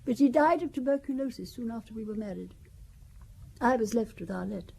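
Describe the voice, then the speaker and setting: A woman's voice: a little high, expressive, brisk, creaky, a little mellow, slight background noise. An actress playing a wealthy older widow who talks about her family in a wry, confiding, gossipy way, in a radio drama. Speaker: Valentine Besson.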